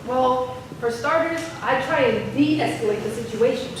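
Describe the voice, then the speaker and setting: A woman's voice: high voice, unhurried. A woman playing an argumentative young critic of police who acts out an officer in a staged play reading. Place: theater hall, recorded from the audience. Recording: roomy, distant stage recording with faint noise.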